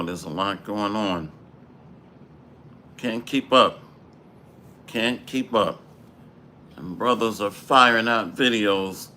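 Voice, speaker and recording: A man leads a livestreamed Bible lesson in a slow, deliberate cadence. His throat is dry and he clears it often. His voice stays bright and forceful. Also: lowish voice, slight background noise. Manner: slow, measured preaching with Hebrew phrases. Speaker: Bible teacher. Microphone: livestream microphone.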